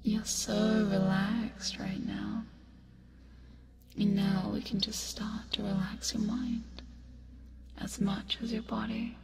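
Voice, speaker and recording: An eerie, tender female demon acted by a woman whispers a hypnotic ASMR roleplay over music. Her breathy words are drawn out extremely slowly, coaxing the listener in an even tone. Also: medium pitch. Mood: soothing, hypnotic. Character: eerie yet soothing female sleep paralysis demon.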